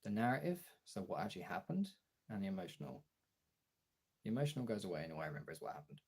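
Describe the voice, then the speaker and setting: A man chatting casually as a streamer, thinking aloud haltingly as he searches for words and trails off. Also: sits low, big pitch swings, creaky, a little mellow, breathy edge.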